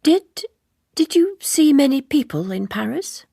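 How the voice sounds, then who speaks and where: A woman voicing a reserved young typist in an audiobook novel, careful, polite and quietly probing. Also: high voice, very animated, measured pace, vocal fry, no room sound, clean studio sound, voice pushed up, heavily acted. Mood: guarded curiosity.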